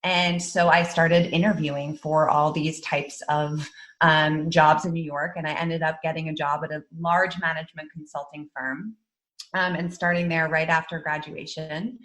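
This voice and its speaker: A woman raised in the Netherlands speaks as an interview guest with a fairly low voice. She talks in an earnest, reflective way and leans often on fillers like um and you know. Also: flat delivery, normal speed, fairly bright tone. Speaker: guest, author and entrepreneur. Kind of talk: casual interview chat.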